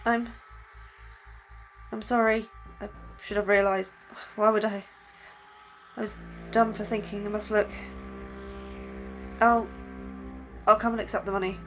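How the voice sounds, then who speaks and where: A woman's voice: medium pitch, little pitch movement, unhurried, long pauses, faint music behind, lightly acted. An actress playing an anxious, frightened female scientist in an audio drama, speaking hesitantly with stammers. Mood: shaken, resigned. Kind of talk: emotional audio drama acting.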